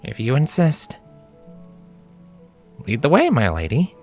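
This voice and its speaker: A woman's voice: rumbling low, huge pitch swings, slow pace, a little mellow, exaggerated voice. A woman voicing an awkward, nervous, bookish male pony in a dramatised fan fiction reading, hesitant, with music behind. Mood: gallant.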